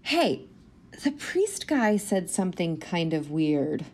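A woman's voice: lowish voice, sing-song, slow, a little mellow, exaggerated voice. A woman in her thirties playing an anxious, self-doubting new schoolteacher with a wry edge, in a naturalistic audio drama. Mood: uneasy. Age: 30s. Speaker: actor in an audio play.

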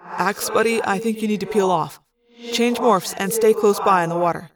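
A woman's voice: mid-range voice, moderate pace, over music, lightly acted. Woman as a curt teenage boy leader. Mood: businesslike. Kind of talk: audiobook character voice.

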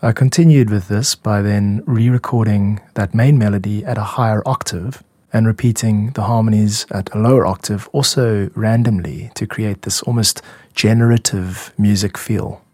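A man hosting a podcast, explaining his own music in a measured, reflective way. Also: on the low side, fairly even intonation, darkish tone. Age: adult. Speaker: podcast host and music producer.

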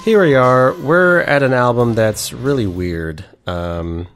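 A man co-hosting a casual podcast who speaks quietly and haltingly, with dry humor. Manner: low-key, hesitant, dry humor. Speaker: podcast co-host.

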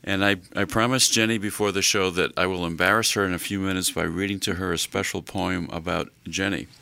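Deep gravelly older male radio host. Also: steady tone, sharp tone, no room sound. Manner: slow, folksy, with many fillers. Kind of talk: casual radio talk.

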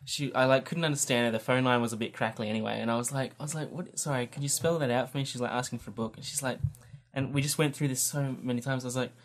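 A man on a podcast telling a work story in a lively, amused way. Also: mid-range voice, fast talker. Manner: animated storyteller, laughing through. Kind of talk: casual podcast chat.

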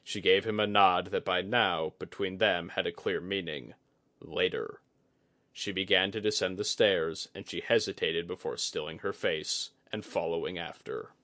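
A man's voice: sits low, normal speed, bright, forceful tone, no echo. A man narrating a fantasy story as a dramatic, scripted audiobook reading.

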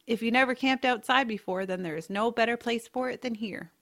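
A woman playing a kindly, adventurous aunt in a family audio drama, speaking in a gentle, reassuring, measured way. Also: a little high. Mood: welcoming. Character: kindly, adventurous, knowing aunt with a mysterious side.

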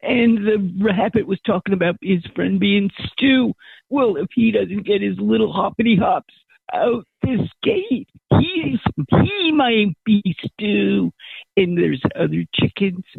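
A woman voicing a fretful, wriggling farm gate with a creaky voice in an improvised story. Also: medium pitch, expressive, slow, no room sound, exaggerated voice. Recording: muffled phone-like audio.

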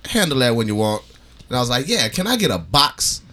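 A man's voice: medium pitch, big pitch swings, fairly quick, bright, forceful tone, slight background noise. A man co-hosting a podcast, telling stories in casual, slangy, profane banter with a teasing streak. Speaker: podcast co-host. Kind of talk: casual joking banter and storytelling.

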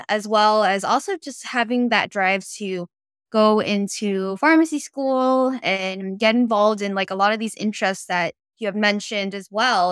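A woman's voice: medium pitch, smooth, no echo. Young woman hosting a podcast interview, dry sound. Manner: peppers casual talk with "like". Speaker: podcast host. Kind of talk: interview, semi-casual podcast talk.

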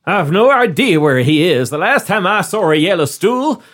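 A man's voice: high-pitched, sing-song, fairly bright tone, full character voice. A man voicing a bumbling, cowardly male ambassador who blusters and panics when pressed. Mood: flustered. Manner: blustering, panicky, slow and whiny.

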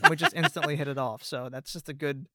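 A man's voice: a little high, steady tone, quick pace, background noise. An American man from Pennsylvania chatting casually as a guest voice actor, rambling and self-deprecating. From Pennsylvania, United States. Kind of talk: casual chat.

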